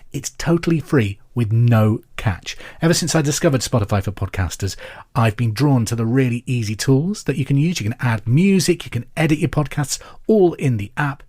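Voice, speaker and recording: A man presenting a podcast pub quiz in a scripted, clear and deliberate way. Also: medium pitch, darkish tone. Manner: repeats questions clearly and deliberately.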